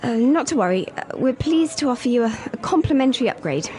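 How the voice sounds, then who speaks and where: A woman reading scripted dialogue parts for an English listening lesson, speaking clearly and carefully. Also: fairly high pitch, lively intonation, quick pace, darkish tone, slight background noise, lightly acted. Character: woman calling in sick, then a polite hotel receptionist. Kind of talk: scripted role-play dialogue.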